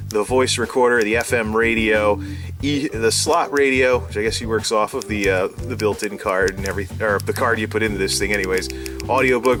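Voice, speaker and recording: A man hosting a casual, unscripted gadget unboxing, rambling and interrupting himself as he thinks aloud. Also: on the low side. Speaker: gadget unboxing video host. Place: indoors at a desk, small room.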